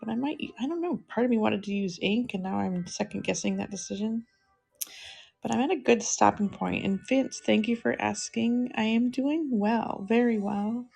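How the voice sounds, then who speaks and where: A middle-aged woman chatting softly and unhurriedly with viewers while she draws on a livestream. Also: a little high, moderate pace, gravelly, slightly rough, poor audio. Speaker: live-streaming artist. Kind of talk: casual livestream chat while drawing.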